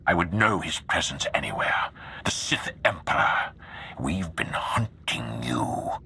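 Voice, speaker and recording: Game role: stern dark lord, very forceful and gravelly, theatrical, commanding, some ambient noise. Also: male voice, a bass voice, very animated, unhurried, heavily acted. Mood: accusing, grave. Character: grim, imposing Sith lord, stern and defiant. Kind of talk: dramatic video game voice acting.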